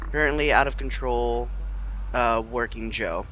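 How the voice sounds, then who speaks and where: A man of middling pitch takes part in a casual tabletop role-play over an online call. He hesitates and searches for words, with slight noise behind him. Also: unhurried, a bit bright, dull audio. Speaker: tabletop game player.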